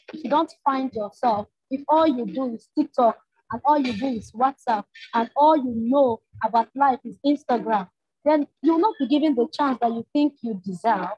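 High husky Nigerian woman on a video call. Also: no room sound. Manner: earnest, urging, repeats phrases for emphasis. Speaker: panelist, leader of a campus organization. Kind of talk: impassioned panel talk. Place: indoor room at home. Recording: muffled lo-fi video call audio.

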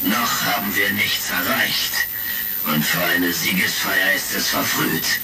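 Deep, gravelly villain baron. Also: male voice, exaggerated voice. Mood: cold, warning. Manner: slow, threatening, formal. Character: menacing, imperious baron, pirate villain serving masters. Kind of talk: retro sci-fi radio play acting. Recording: distant, crackly vintage radio-play record. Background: loud music.

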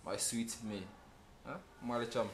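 A Nigerian man playing a brash, smooth-talking, gold-digging boyfriend in a film drama, emphatic and given to repeating himself. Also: medium pitch, steady tone, unhurried, a little hiss or hum, lightly acted. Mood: sweet-talking, coaxing. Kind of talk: film drama acting, mixing English and Nigerian Pidgin.

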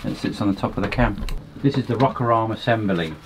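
A man's voice: fairly low pitch, quick pace, noisy background. A middle-aged man explaining engine parts step by step in a small boat cabin. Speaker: mechanic friend helping with the engine.

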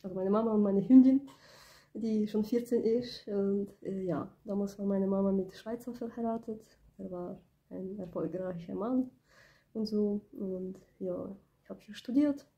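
A young woman from Ukraine speaks with a mellow, slightly gravelly voice, kept low because she has a cold. She talks softly and hesitantly, pausing with fillers, straight into a phone camera in a small indoor room. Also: muffled recording. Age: 20s. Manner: soft-spoken, hesitant, many fillers. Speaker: beauty business owner. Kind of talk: casual personal vlog. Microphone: phone camera close up.

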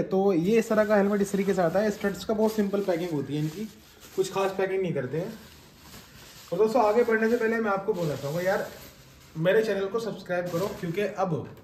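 A man's voice: high voice, a little mellow, slight background noise, rough recording. A man in his thirties from India, casually unboxing and reviewing a helmet for viewers, mixing in English phrases. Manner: chatty, addresses viewers as guys and friends, mixes in English phrases. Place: small home room with shelves of trophies, indoors.

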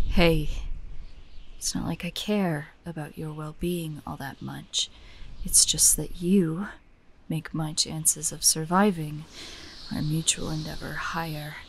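A woman playing a prickly, guarded young hunter who secretly cares, in a soft, breathy romantic audio roleplay with a defensive, hesitant manner. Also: low voice, a little hiss or hum. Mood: defensive, teasing. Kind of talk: romantic audio roleplay acting, addressed to the listener.

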